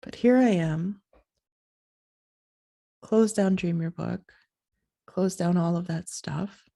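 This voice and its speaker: Soft sleepy-voiced woman podcaster, gravelly. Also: fairly low pitch. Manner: slow, halting, breathy and soft-spoken. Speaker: podcast host. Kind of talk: soft reflective monologue.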